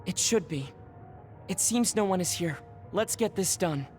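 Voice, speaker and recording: A man voicing a reserved young male soldier who hides a secret, speaking formally and softly. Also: high voice, lively intonation, unhurried. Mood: worried, formal. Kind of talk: game cutscene dialogue. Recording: game audio with music behind.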